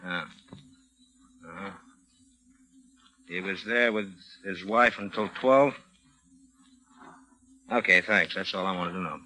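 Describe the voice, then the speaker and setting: An American actor playing a shrewd, suspicious homicide lieutenant in a vintage radio crime drama, clipped and sardonic. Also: male voice, sits low, slow pace, long pauses, bright, forceful tone, heavily acted. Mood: brisk, businesslike. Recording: noisy vintage radio recording with clicks.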